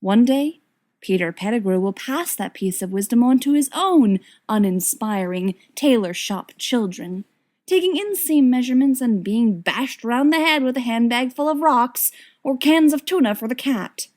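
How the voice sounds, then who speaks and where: A woman's voice: on the high side, lively intonation, moderate pace, a little mellow. A woman narrating a written story expressively, with wry, dramatic emphasis. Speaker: audiobook reader of fan fiction.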